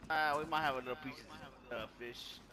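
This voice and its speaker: A man's voice comes through game voice chat, relaxed and friendly. Steady noise from the game and the line sits clearly under him. He talks plainly with his teammates. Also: mid-range voice, a little fast.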